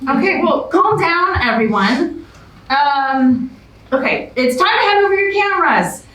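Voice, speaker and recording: A woman playing a bossy, harried reality show producer in a staged comedy reading, giving brisk instructions. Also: high-pitched, big pitch swings, a bit bright, heavily acted. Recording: echoey room, distant mic, faint noise.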